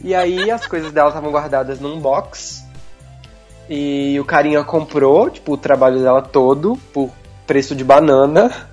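A man's voice: a little high, normal speed, darkish tone, faint music behind. A Brazilian man co-hosting a podcast, chatting in an informal, joking manner. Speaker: podcast co-host. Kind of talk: casual chat.

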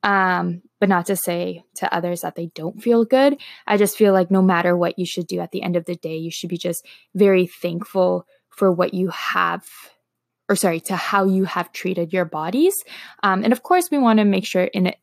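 A woman's voice: on the low side, moderate pace, creaky, no echo, studio quality. A woman in her early twenties from Toronto with a Canadian accent and Slovak as her first language, chatting casually and a bit hesitantly to her followers as a wellness blogger.

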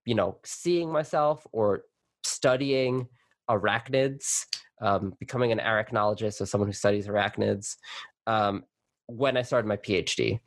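A man's voice: lowish voice, dry recording. A young man, born in Colombia and raised in New York City, talking casually as an interview guest with lots of fillers and restarts. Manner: chatty, with many fillers and restarts. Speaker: guest, arachnologist.

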